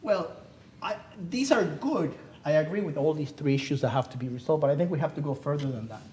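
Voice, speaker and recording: A man's voice: a little high, lively intonation, a little fast, a little mellow, slight background noise. Earnest Cuban-born man giving a seminar talk. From Cuba. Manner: earnest, emphatic, rhetorical questions. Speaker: panelist speaking on the economy. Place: theater.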